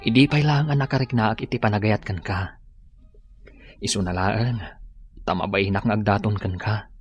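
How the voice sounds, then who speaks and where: A man's voice: on the low side, moderate pace, lightly acted. A man playing an earnest, lovestruck suitor in a radio drama, tender and pleading. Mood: soft pleading. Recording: radio drama with music behind.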